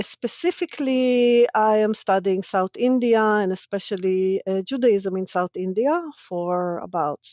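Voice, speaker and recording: A woman's voice: mid-range voice, darkish tone, no room sound. Israeli-born woman, dry close voice. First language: Hebrew. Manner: thoughtful, laughs often between points, with hesitant restarts. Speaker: podcast guest, senior lecturer in religious studies. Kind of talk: casual interview talk.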